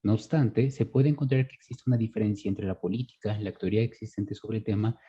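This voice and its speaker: A man's voice: lowish voice, fairly even intonation, brisk, dark tone, breathy edge. A man giving a formal academic presentation over a muffled video call. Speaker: conference presenter. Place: online video conference.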